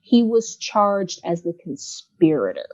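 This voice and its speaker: A woman in her thirties, a guest from Los Angeles, speaking reflectively in a casual interview with many pauses and fillers. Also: lowish voice, very animated, a little mellow, no room sound, lo-fi sound. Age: 30s.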